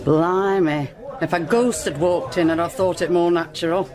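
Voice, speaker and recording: A woman's voice: on the low side, steady tone, moderate pace, music in the background, natural voice. An actress playing a blunt, sardonic middle-aged club landlady in a radio drama. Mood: wry, blunt. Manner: blunt, wry, colloquial. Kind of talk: naturalistic radio drama acting.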